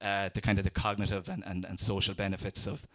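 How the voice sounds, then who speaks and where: A young man with an Irish accent delivers a comedy set in a fairly low voice. He is dry and sardonic, and he keeps trailing off into ums and restarting his sentences as he riffs. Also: little pitch movement, fast, fairly bright tone. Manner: sardonic, conversational, frequent fillers and restarts. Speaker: stand-up comedian and researcher.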